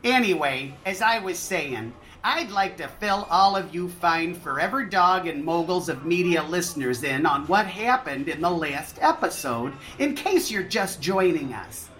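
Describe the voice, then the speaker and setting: A woman's voice: lowish voice, animated, a little slow, hardly any pauses, fairly bright tone, slight background noise. A woman playing a disgruntled, foul-mouthed waitress who narrates a comedy radio play with brash, theatrical asides. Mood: gossipy, dramatic.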